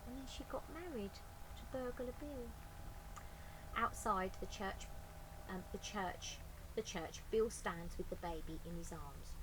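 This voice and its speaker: A woman's voice: mid-range voice, a little slow, background noise. A woman reading a picture book aloud expressively, adding chatty asides to young listeners. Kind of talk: expressive children's story reading.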